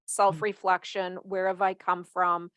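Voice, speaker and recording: A woman's voice: lowish voice, flat delivery, a little slow, a bit bright, smooth, no room sound. Reflective woman host in her fifties, dry sound. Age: 50s. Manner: reflective, builds up to emphatic questions. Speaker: podcast host. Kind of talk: interviewing a guest.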